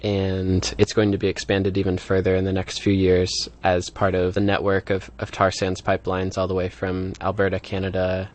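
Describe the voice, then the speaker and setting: A man from the American Midwest giving casual interview answers as an activist, halting and full of filler words. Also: sits low, monotone. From Midwestern United States.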